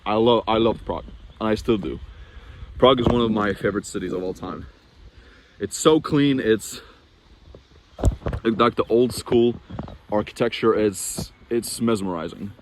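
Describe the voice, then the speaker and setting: A man's voice: on the low side, a little slow, long pauses, background noise. A young man from Norway talking casually to camera in a vlog, rambling and chatty, with frequent restarts and fillers. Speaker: vlogger.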